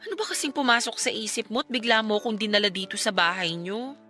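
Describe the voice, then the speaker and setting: A woman's voice: mid-range voice, fast talker, pushed and bright, faint music behind, natural voice. A woman acting a nervous, hesitant young woman in a Filipino radio drama. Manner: hesitant, anxious. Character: nervous young woman visiting her friend's family home.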